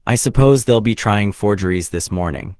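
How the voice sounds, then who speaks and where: A surly London odd-job man acted out by a male reader grumbles doggedly through a classic novel. His speech is rough and uneducated, and the sound is dry and close. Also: on the low side, normal speed, darkish tone, no room sound, natural voice. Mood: grumbling.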